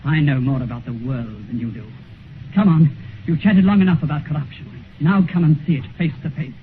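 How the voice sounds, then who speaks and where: An actor playing a vain, corrupt, ageless English gentleman in a theatrical, taunting manner in a 1950s radio drama. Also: a little high, a little fast, lightly acted. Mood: mocking, bitter. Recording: noisy, muffled 1950s radio recording.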